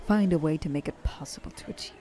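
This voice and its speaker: Low, mellow flirtatious vampire woman acted by a woman. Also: fast, natural voice. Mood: persuasive. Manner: coaxing, intimate, dropping often into breathy whispers. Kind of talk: ASMR roleplay monologue to the listener.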